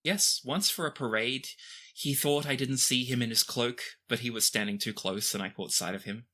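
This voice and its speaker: A performer voicing a young teenage princess who is gentle, guarded and composed, with formal, measured phrasing in a fantasy tabletop game. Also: mid-range voice. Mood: reserved, wistful.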